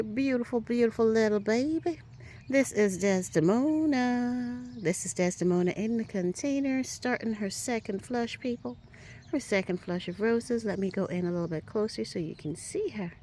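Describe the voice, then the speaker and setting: A woman giving a casual walking tour of her garden outdoors, chatty and upbeat, a little hoarse, often asking "right?" as she films on a handheld phone. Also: a little high, slight background noise. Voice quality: a little hoarse, clears throat. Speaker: garden vlogger.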